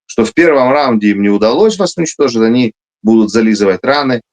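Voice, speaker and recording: A man's voice: medium pitch, lively intonation, fast talker, darkish tone. A man who is a military officer, answering interview questions emphatically, with frequent hesitations and restarts. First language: Russian.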